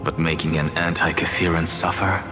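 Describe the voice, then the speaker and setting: An actor playing a proud, theatrical demigod scholar of reason in a video game, speaking in a measured, self-assured way. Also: male voice, extremely low pitch, little pitch movement. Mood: smug, theatrical. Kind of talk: dramatic game voice acting. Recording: echoey hall reverb with loud music. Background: loud music.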